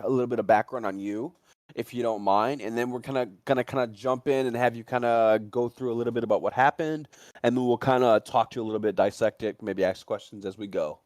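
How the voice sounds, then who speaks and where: A man's voice: medium pitch, darkish tone, dry recording, clean studio sound. A man hosting a livestream interview in a casual, blunt way. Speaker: host interviewing a guest.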